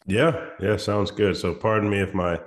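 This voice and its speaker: A man with a very low, raspy voice, hoarse from a recent cold. He explains things in a relaxed, conversational way, often restarting his sentences. He sounds like he is in his thirties. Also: moderate pace. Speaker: music promotion agency founder. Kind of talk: casual interview chat.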